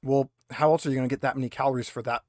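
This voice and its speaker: A man narrating a tabletop roleplaying game as game master, casual and explanatory, repeating himself haltingly. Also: medium pitch, steady tone, darkish tone, no room sound, studio quality.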